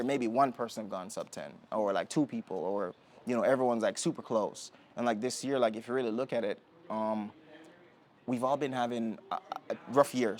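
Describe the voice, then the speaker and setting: Jamaican-raised young man, rambling easy talk. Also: medium pitch. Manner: relaxed, rambling with many fillers and restarts. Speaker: guest, Olympic sprinter. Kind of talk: casual interview talk. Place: indoor podcast setting.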